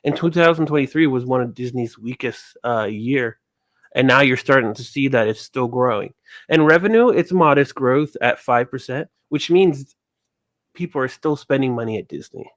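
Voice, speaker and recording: Male panelist, medium pitch, dry room-free sound; halting, explanatory casual analysis. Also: expressive, no echo.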